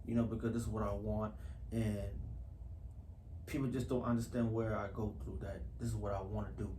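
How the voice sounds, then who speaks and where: A man's voice: lowish voice, little pitch movement, a little fast, mellow. A man speaking casually and earnestly in an interview, repeating phrases for emphasis. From Houston, US. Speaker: actor being interviewed. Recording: echoey room with faint background noise.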